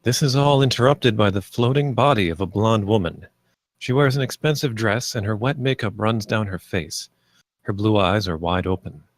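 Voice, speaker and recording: A man with a lowish voice narrates the stage directions of a screenplay. His delivery is plain and measured, and the sound is dry and close. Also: no echo. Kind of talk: scripted narration at a screenplay table read.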